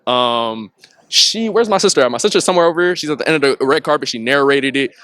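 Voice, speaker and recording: A young man, a student filmmaker, answering red carpet questions in an excitable, casual way. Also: mid-range voice, fast, no room sound. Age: young adult.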